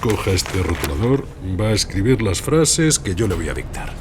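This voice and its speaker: A man's voice: on the low side, sing-song, moderate pace, background noise. An actor in a crime audio drama playing an unflappable male police detective, sardonic and commanding, with a Spain accent.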